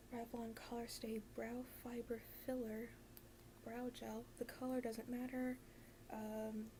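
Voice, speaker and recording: Slow, whispering woman in an ASMR roleplay. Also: a little high, noisy background. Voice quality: breathy whisper. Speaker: ASMR video creator. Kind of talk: whispered ASMR roleplay as an emo best friend.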